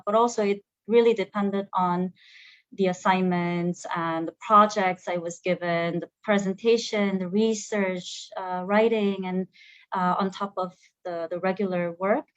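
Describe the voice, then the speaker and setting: Korean woman, non-native English, halting with lots of restarts, dry close sound, dull audio. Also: mid-range voice, no room sound. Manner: hesitant, many fillers and restarts. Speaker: graduate alumna. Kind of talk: conversational panel interview.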